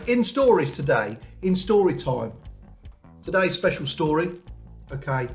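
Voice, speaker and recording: A man presenting and reading storybooks expressively for children. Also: fairly high pitch.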